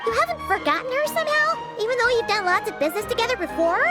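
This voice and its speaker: Squeaky, very high childlike fairy sidekick. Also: female voice, very animated, quick pace, over loud music. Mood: puzzled. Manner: squeaky, speaks of self in third person. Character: small childlike fairy companion, chirpy and inquisitive. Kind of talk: animated video game voice acting.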